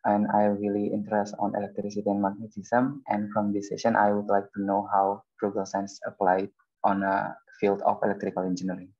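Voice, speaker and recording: A man, introducing himself in plain, halting English. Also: lowish voice, monotone, a little mellow, dull audio. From Indonesia. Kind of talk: self-introduction in an online class. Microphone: video call.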